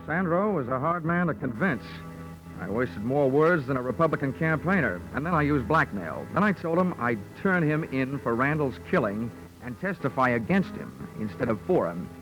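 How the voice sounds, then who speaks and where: A man plays a hard-boiled American investigator in an old-time radio drama. His mid-pitched voice is dry and deadpan, full of wry wit. It comes from an old broadcast recording with music. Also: normal speed, lightly acted, low-quality recording. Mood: measured narration. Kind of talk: 1940s radio drama, first-person detective narration.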